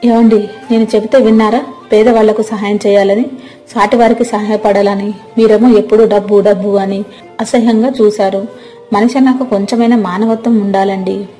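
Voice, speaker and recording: A woman's voice: medium pitch, level tone, normal speed, mellow, faint music behind, natural voice. A woman voicing a kind-hearted village wife who questions and reproaches her husband in a moral story. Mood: reproachful.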